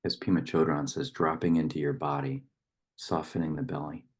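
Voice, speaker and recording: A man reading poetry and leading a guided meditation in a gentle, unhurried manner with long pauses. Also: extremely low pitch, fairly even intonation, husky.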